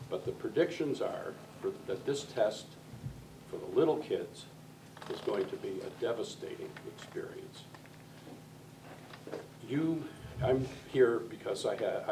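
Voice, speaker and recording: Low, gravelly older American man. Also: roomy sound. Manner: deliberate, rhetorical, addresses listeners directly. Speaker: grandparent speaking from the audience. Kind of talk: public comment speech. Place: school library, public meeting. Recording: distant mic, noisy room.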